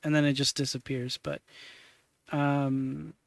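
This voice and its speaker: A man in his twenties hosting a stream, chatting casually in a halting way with many fillers while he works on screen. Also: medium pitch, flat delivery, gravelly, dry recording. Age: 20s. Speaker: streamer host. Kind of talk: casual chat.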